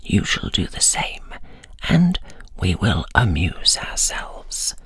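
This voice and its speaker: A woman voicing an icy, boastful old male winter spirit in a slow storybook tale. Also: rumbling low, very animated, fairly bright tone, husky, dry recording, in a whisper, pitched below the performer's own voice, full character voice. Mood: welcoming.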